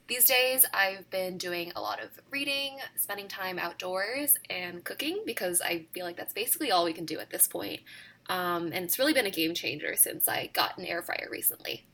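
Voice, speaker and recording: A woman in her twenties from the Bay Area, co-hosting a podcast in an earnest, measured way. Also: fairly low pitch, bright, forceful tone. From San Francisco Bay Area. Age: 20s. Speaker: podcast co-host. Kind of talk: podcast intro, partly read.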